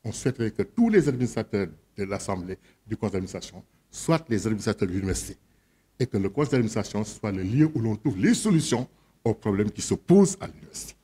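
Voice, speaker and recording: A man's voice: on the low side, slightly rough. A man chairing a board meeting, giving a formal address and calling votes. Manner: measured, then loud and directive when calling the vote. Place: meeting hall.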